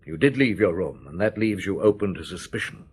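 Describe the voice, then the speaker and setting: A calm French police inspector, husky low voice, lively inflection, radio play. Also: male voice, full character voice. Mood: firm. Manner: measured, quietly insistent. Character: middle-aged French police chief inspector, calm and probing. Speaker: detective. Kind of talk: 1970s BBC radio drama acting.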